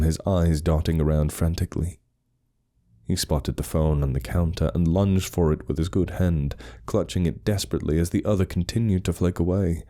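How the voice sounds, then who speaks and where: A man's voice: low voice, mellow. A man narrating a horror story in a dramatic, scripted storytelling style.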